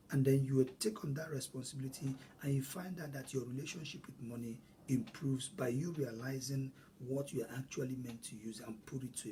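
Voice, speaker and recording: A man's voice: medium pitch, a little mellow, a little hiss or hum. A man from Nigeria with a Nigerian accent, a native Igbo speaker, hosting an earnest, emphatic solo talk on money. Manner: earnest, emphatic, repeats phrases for stress. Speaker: host.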